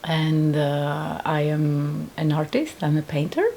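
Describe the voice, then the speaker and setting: A woman's voice: low voice, level tone, slow pace, vocal fry, warm tone, slight background noise. A woman in her thirties from Greece, speaking English with a Greek accent, giving earnest personal testimony and searching for words with frequent restarts. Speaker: painter and survivor giving testimony.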